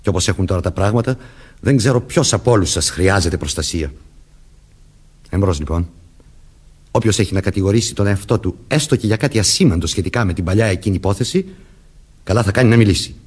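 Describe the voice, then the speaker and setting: An actor playing a stern, commanding young police detective questioning people deliberately in a Greek radio play. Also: male voice, low voice, darkish tone, breathy edge. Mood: grave, warning.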